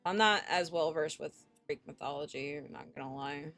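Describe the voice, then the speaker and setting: A woman's voice: deep voice, moderate pace, fairly bright tone, soft background music. A woman streamer reading a visual novel aloud expressively, with casual, sweary reactions.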